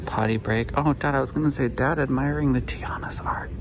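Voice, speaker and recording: A man's voice: medium pitch, steady tone, brisk, dull audio. Gushing man vlogging a sunny port. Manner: gushing, playful, chatty. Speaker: family vlogger. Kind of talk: casual travel vlog. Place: outdoors at a sunny cruise port.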